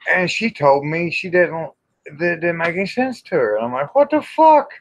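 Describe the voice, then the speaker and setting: A man's voice: on the high side, very animated, normal speed, slight background noise. A middle-aged man, hosting a casual livestream chat in a folksy, rambling, salty-tongued way. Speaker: livestream host. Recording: dull lo-fi livestream audio.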